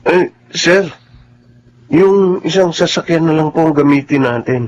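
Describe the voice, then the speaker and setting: A man acting a loyal, earnest family driver in a Filipino radio drama, soft-spoken and respectful. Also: a little high, measured pace, lo-fi sound, lightly acted. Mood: earnest, worried.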